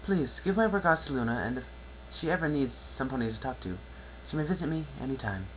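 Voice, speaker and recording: A man's voice: mid-range voice, lively intonation, fast, fairly bright tone, a little hiss or hum, pitched above the performer's own voice, lightly acted, poor audio. A man voicing a polite young female pony student reading out a letter, earnest and disappointed.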